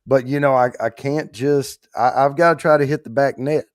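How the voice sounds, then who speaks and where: A man with a rough, hoarse, worn-out voice, hosting a livestream in rambling, folksy casual chat while trying not to get loud. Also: medium pitch, steady tone, normal speed, no echo, clean studio sound. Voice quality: rough, strained voice. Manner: rambling, folksy, holding back volume. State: hoarse, worn out after allergy attack. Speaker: host of a whiskey giveaway livestream.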